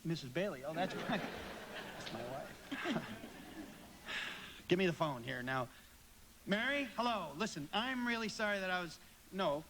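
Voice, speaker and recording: High-voiced, earnest young small-town fellow. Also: male voice, expressive, a little slow, pauses often, bright, forceful tone, slight background noise, heavily acted, rough recording. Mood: flustered. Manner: pleading, folksy, stammering. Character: earnest, impassioned young small-town male loan manager. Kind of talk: live radio drama acting.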